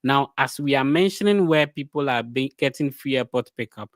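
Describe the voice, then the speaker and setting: Guy hosting a podcast, middle pitch, dry close sound, rambles a lot. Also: animated, normal speed, dry recording. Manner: rambling, many fillers and restarts. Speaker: podcast host. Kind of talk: casual podcast interview.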